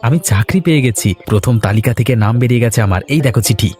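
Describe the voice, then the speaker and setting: A man's voice: medium pitch, animated, rapid-fire, darkish tone, lightly acted. A man voicing a proud, self-assured young village son in a cartoon story. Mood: elated. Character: proud young village son, newly employed, low-voiced. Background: music.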